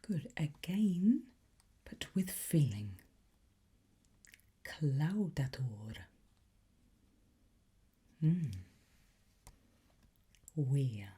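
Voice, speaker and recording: A woman playing a playfully conspiratorial demonology teacher in an ASMR roleplay, whispering slowly and deliberately with a breathy, softly encouraging voice. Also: low-pitched, big pitch swings, exaggerated voice. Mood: hushed, playful, intrigued.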